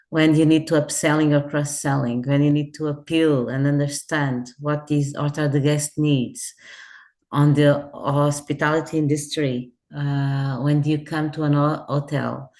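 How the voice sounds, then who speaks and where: Low-voiced woman with Portuguese accent. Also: a little mellow. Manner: thoughtful, restarts phrases, searches for words. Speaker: guest, head of customer services. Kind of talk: remote interview.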